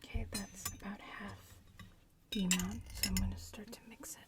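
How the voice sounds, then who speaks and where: A woman playing a bratty young witch in training, whispering breathily in a hushed ASMR roleplay. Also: medium pitch, steady tone, heavy background noise, close to an everyday voice. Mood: calm, focused. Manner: slow, hushed, unhurried, murmuring.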